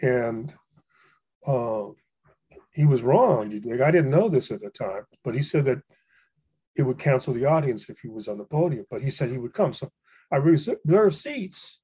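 Older guy from hawaii rambling through poet stories, mellow husky voice. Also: mid-range voice, animated, moderate pace. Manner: rambling, many fillers and restarts, warm anecdotes. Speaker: poet and guest. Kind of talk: reflective storytelling conversation.

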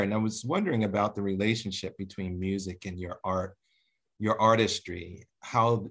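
A man interviewing a writer, asking a thoughtful question about how music shaped his ear. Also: low-pitched. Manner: thoughtful, encouraging backchannels, careful phrasing. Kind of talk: interview conversation.

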